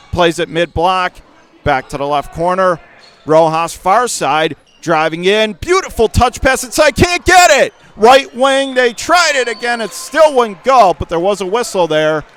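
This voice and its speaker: Guy calling a hoops game on radio, kinda high smooth voice, short punchy excitable calls. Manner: excitable on big plays, punchy short phrases. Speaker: radio play-by-play sports announcer. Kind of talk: live basketball commentary. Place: indoor school gymnasium during a game.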